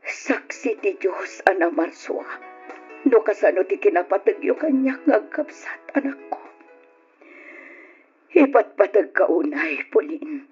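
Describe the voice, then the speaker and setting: A woman's voice: mid-range voice, lively intonation, a little slow, long pauses, darkish tone, close to an everyday voice. A woman playing a hurt, devoted middle-aged mother in an old radio drama, earnest and pleading. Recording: dull old radio recording with music.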